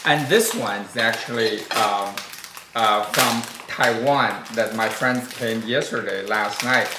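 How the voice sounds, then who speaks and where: A middle-aged man from northern China hosts a relaxed conversation. He speaks with a Mandarin Chinese accent, halting and filling pauses with uh. The room around him rings with echo. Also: lowish voice, noisy background. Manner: halting, many fillers. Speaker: host. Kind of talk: casual chat.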